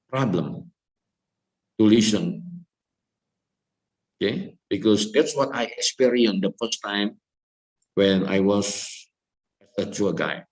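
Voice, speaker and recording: Slow-speaking Indonesian-accented male lecturer. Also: lowish voice, a little mellow, low-quality recording. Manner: slow deliberate speech with frequent "okay?" checks; repetitive, emphatic. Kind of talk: classroom lecture.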